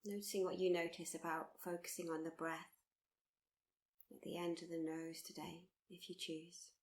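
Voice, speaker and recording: A woman leads a guided meditation in a slightly low voice. Her intonation stays very flat and steady. She is soothing and unhurried, gently encouraging the listener and circling back over her phrases. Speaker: meditation teacher leading a body scan. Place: live workshop.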